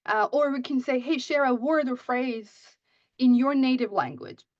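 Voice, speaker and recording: A woman's voice: high voice, moderate pace, a little mellow, no room sound. Persian-speaking woman giving a teaching talk. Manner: frequent "right?" checks, many restarts. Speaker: writing instructor. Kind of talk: conference presentation. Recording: muffled dry audio.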